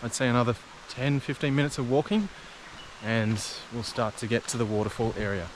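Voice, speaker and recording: A man talks casually to his viewers while hiking along country roads, his voice sitting at an ordinary middle pitch. The outdoor camera audio carries clear wind and road noise behind him. He keeps an easygoing, chatty manner as he walks. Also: brisk. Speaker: travel vlogger. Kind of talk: casual walking commentary. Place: outdoors on rural roads and trails.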